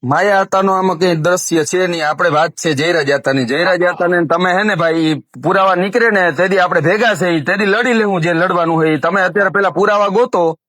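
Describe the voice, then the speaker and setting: A man's voice: high-pitched, brisk, a bit bright, dry recording. A man in a heated phone conversation, firmly admonishing the other party and repeating himself. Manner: firm, admonishing, repetitive. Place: recorded phone call.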